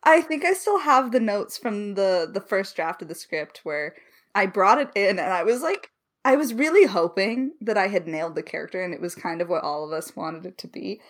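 A woman talking casually and candidly as a creator in a behind-the-scenes discussion, animated and quick to swear. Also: medium pitch. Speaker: podcast creator answering questions.

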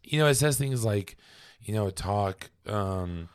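A man with a raspy, hoarse voice from laryngitis, pitched on the low side. He co-hosts a casual podcast chat, stumbling through ums and letting his words fade out. The sound is dry and close to the microphone. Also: expressive, dry recording. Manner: halting, with many ums and trailing off quietly. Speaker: podcast co-host.

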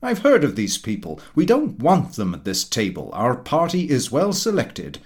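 A man's voice: mid-range voice, huge pitch swings, moderate pace. A man voicing a pompous, opinionated American guest who lectures a dinner table in grand declamatory style, in a storybook reading. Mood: dismissive. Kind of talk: storybook character dialogue.

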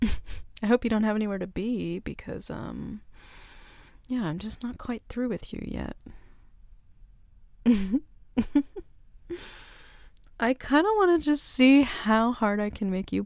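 Woman doing a teasing tomboy coworker, slow and giggly, bit of gravel in her voice. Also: mid-range voice, no echo. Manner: teasing, giggly, soft-spoken. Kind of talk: ASMR roleplay.

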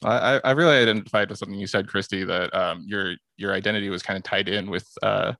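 Low, gravelly male guest with a halting, casual delivery. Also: fairly even intonation, sharp tone, no echo. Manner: hesitant, repeats words. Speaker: assistant winemaker, podcast guest. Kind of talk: casual group conversation.

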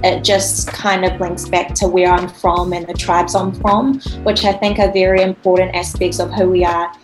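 A woman's voice: lowish voice, little pitch movement, normal speed, low-quality recording. Young New woman over music. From New Zealand. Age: 20s. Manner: soft, hesitant, casual with "like". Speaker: guest, psychology student and artist. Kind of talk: interview answers.

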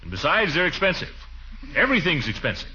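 Pompous exasperated uncle on old radio comedy, big swoops up and down, forceful, muffled recording. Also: male voice, fairly high pitch, wildly swooping pitch, fast, heavily acted. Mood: blustering. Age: middle-aged. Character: blustery, soft-hearted middle-aged uncle, pompous and exasperated. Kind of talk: 1940s radio comedy acting.

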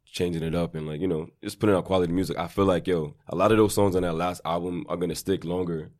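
A man with a low voice, a New York rapper, chatting casually about music and thinking aloud. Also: steady tone, fast, darkish tone. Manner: laid-back, thinks aloud with many "like"s. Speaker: rapper guest. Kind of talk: casual chat.

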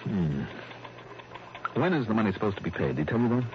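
An actor playing a shrewd, skeptical insurance investigator in early 1960s radio drama, clipped, probing and self-assured. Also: male voice, lowish voice, sing-song, fairly quick. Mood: firm, skeptical. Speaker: actor in the lead role of a radio detective serial. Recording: dull, noisy old radio recording.

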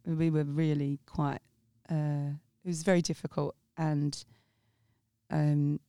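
A woman's voice: low voice, flat delivery, slow pace, warm tone, clear, resonant tone, no echo, clean studio sound. A woman from England with a mixed Sussex and Essex English accent, chatting freely as an interview guest, rambling with restarts. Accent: English, mixed Sussex and Essex with a touch of Australian. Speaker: guest, jazz singer. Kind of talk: casual interview chat.

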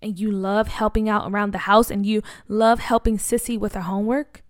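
A woman voicing a caring mother who speaks slowly and firmly to her son in a storybook. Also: medium pitch, fairly even intonation, dry recording, studio quality, natural voice. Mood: gentle. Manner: slow, firm, instructive. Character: caring mother, firm and loving.

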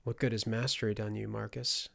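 A gentle young hero of an audiobook, voiced by a male narrator. He speaks softly and calmly with a creaky edge, puzzled and conciliatory. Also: lowish voice, brisk. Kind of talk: audiobook character acting.

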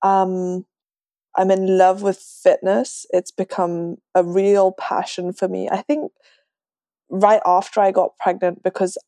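A woman's voice: medium pitch, little pitch movement, takes it slowly, mellow, no room sound, studio quality. A woman in her twenties with a slightly Americanised London accent, chatting casually as a podcast guest. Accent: London English, slightly Americanised. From London, England. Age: 20s. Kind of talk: casual conversational interview.

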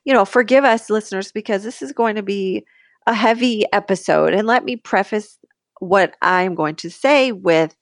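A woman's voice: mid-range voice, moderate pace, no room sound, studio quality. A woman co-hosting a casual podcast, talking in a hesitant, searching way. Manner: hesitant, full of ums and restarts. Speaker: podcast co-host.

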